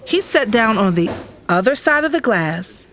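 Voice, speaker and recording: A woman's voice: a little high, very animated, moderate pace, fairly bright tone, slight background noise. A woman with an American accent playing an emotional, insistent young girlfriend in an audio drama. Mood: reflective. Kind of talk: first-person dramatic narration and dialogue in audio drama.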